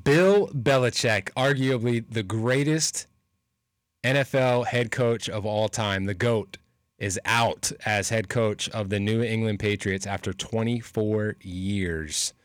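A man's voice: fairly low pitch, fairly even intonation, takes it slowly, no echo. A man hosting a radio sports show, chatting casually, joking and reading out local event listings in a studio. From New Hampshire.

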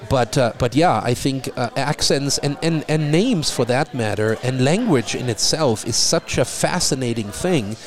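A middle-aged man from Germany with a German accent, talking in a relaxed conversation and often repeating a word as he starts a thought. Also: medium pitch, normal speed, hardly any pauses. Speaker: guest. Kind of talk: casual conversation.

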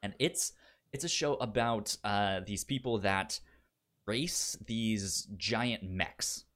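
A man's voice: fairly low pitch, slow pace, a bit bright. A man chatting casually as a podcast host giving recommendations, stuttering and repeating syllables and words as he goes. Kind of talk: casual film chat.

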